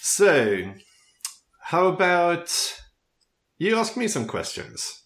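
A man's voice: sits high, big pitch swings, drawn-out words, a bit bright. A man in his thirties with a Swedish accent, a native Swedish speaker, chatting casually and thinking aloud as he talks about himself. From Sweden. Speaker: podcast speaker telling his background. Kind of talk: casual conversation.

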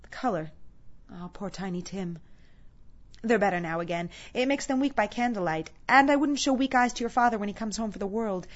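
Woman as grieving mother, gentle, devoted, tender, subdued, storybook character, slightly muffled sound. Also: medium pitch, animated, fairly quick, lightly acted. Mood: sad, tender.

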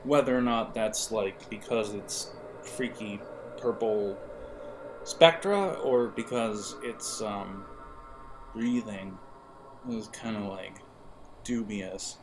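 A man running a tabletop game as game master, improvising scene descriptions in a hesitant, halting way. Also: on the low side, over music, low-quality recording.

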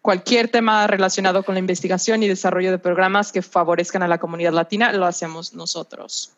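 A woman's voice: on the low side, steady tone, racing. Young Mexican woman, dull lo-fi call. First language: Spanish. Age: 20s. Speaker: guest, nonprofit research associate. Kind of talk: prepared podcast interview answers.

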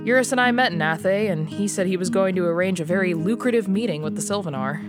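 A man's voice: high voice, fast, over loud music, natural voice. A man voicing a grim, dry-witted male agent who was stranded in a war zone. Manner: dry, wry. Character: grim, wry male Imperial rival agent.

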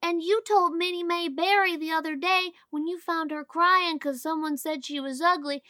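A woman's voice: very high-pitched, steady tone, normal speed, bright, forceful tone, no room sound, studio quality, pitched above the performer's own voice. A woman voicing a curious, chatty little boy who keeps pressing with questions. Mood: aggrieved. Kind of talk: audiobook character voice.

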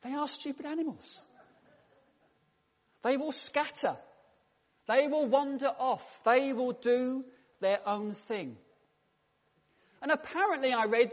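Man, preacher, slow deliberate delivery, humorous storytelling, echoing church hall. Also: extremely high pitch. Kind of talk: sermon. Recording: long reverb in a church hall.

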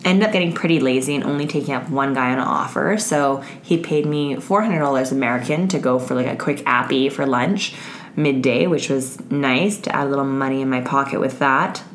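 A woman with an unusually deep, raspy voice that is hoarse and wearing thin. She hosts her own podcast in a loose, frank chat, peppered with fillers and swearing. A faint low rumble sits under the recording. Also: a little fast. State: hoarse, voice giving out. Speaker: podcast host. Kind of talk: casual solo podcast chat.

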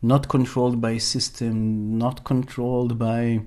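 A man's voice: mid-range voice, little pitch movement, a little slow, mellow. A middle-aged man from Israel, a workshop facilitator, speaking earnestly in an interview and searching for words as he goes. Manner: earnest, searching for words, many fillers and restarts. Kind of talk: interview conversation.

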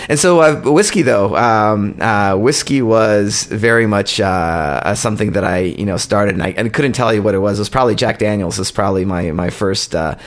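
A man, co-hosting a podcast, telling a long, halting story in casual chat. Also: fairly low pitch. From born in Poland, raised in Canada. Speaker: podcast co-host.

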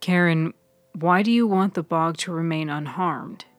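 Woman voicing calm, slow-spoken questioning man. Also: on the low side, dry recording, soft background music, lightly acted. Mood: probing. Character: Tripp, calm attentive man questioning gently. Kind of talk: serial fiction audio storytelling.

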